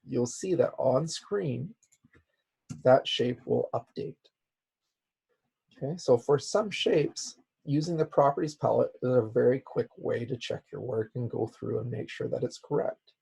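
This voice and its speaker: A man with a raspy, hoarse voice, as he is losing it, teaching a software class with many "okay"s. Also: medium pitch. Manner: repeats "okay" as a filler. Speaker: instructor. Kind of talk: software tutorial lecture.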